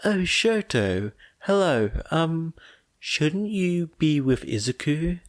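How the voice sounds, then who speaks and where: A male narrator plays a young woman, a caring older sister in an audiobook story. The voice is raised and gentle, with slow, halting, anxious delivery. The sound is dry and close. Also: fairly high pitch, sing-song, no room sound, pitched above the performer's own voice. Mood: tentative. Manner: hesitant, soft-spoken, halting. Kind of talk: audiobook character dialogue.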